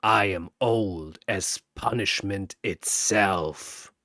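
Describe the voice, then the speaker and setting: A man voicing an ancient Christmas devil, sinister and gloating, in a slow, raspy, breathy whisper for an audio story. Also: low voice, sharp tone, no room sound, clean studio sound, pitched below the performer's own voice, heavily acted. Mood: scornful laughter. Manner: slow, savouring menace.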